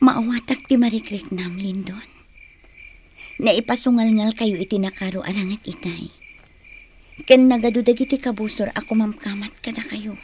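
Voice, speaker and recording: A woman's voice: mid-range voice, moderate pace, a little mellow, a little hiss or hum, natural voice. A woman with an Ilocano accent playing a caring, earnest young tribeswoman in a radio drama. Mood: soft, concerned.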